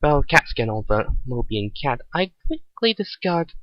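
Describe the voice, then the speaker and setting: A man's voice: medium pitch, very animated, normal speed, no room sound, lo-fi sound, close to an everyday voice. A man voicing a confident doctor in a story reading, clinical and explanatory. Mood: assured. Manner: assured, explanatory.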